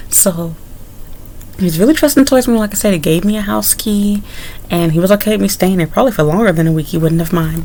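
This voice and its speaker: A woman's voice: lowish voice, creaky, a little mellow, a little hiss or hum. A young adult woman vlogger telling a personal story in a candid, rambling way, with frequent asides to her viewers. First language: English. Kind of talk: casual story-time monologue.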